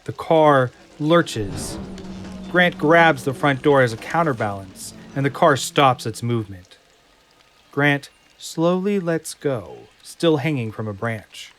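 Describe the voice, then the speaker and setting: A man delivers scripted dramatic narration for an audio drama. He is deliberate and suspenseful, slow-paced and mid-pitched, with prominent music underneath. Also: lively intonation, fairly bright tone.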